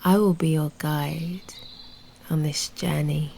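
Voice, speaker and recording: A British woman leads a guided meditation at an extremely slow pace, her soft voice often sinking toward a whisper. The tone is dark and mellow, with long, soothing pauses, over faint background ambience. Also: low voice, steady tone, vocal fry, a little hiss or hum.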